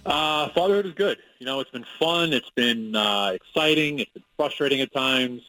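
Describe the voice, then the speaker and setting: New York-accented sportswriter on the radio. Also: male voice, medium pitch, expressive, normal speed, sharp tone, soft background music, low-quality recording. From Long Island, New York. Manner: conversational, with many fillers and restarts, analytical. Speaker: sportswriter guest. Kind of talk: radio interview.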